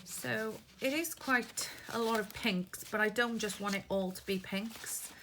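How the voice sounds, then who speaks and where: A woman's voice: medium pitch, a little slow. A Welsh woman chatting casually through a crafting tutorial, rambling as she sorts through papers at her desk. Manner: rambling, chatty, talks while handling papers. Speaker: craft vlogger. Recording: paper crinkling noise close by. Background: paper rustling and crinkling.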